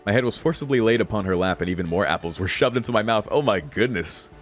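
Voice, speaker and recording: A man with a low-pitched voice reads a visual novel aloud as a casual gaming stream. He drops slangy, exclamatory reactions and swearing between the narration. Faint music plays behind him. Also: lively intonation, fast talker. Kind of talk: casual playthrough, reading aloud with off-the-cuff reactions.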